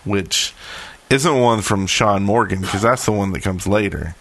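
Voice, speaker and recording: A man's voice: lowish voice, unhurried, fairly bright tone. A man talking casually as a podcast host. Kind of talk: casual chat.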